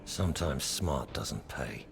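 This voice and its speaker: An actor playing a hard-bitten, terse bounty hunter in a game cutscene, with a gruff, gravelly voice. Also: male voice, very deep voice, animated, slow, long reverb tail, over music, full character voice. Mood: guarded. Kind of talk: video game cutscene acting.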